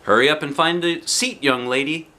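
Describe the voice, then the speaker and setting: A man voicing a brisk, upbeat male school bus driver in a children's storybook. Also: fairly high pitch, sharp tone, pitched above the performer's own voice.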